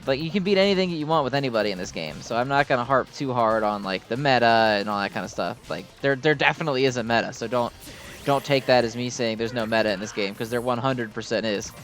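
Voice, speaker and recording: A man's voice: medium pitch, fast talker, a bit bright, faint music behind. A man giving casual, unscripted gameplay commentary in a rambling, informal, self-deprecating way. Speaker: gaming video host.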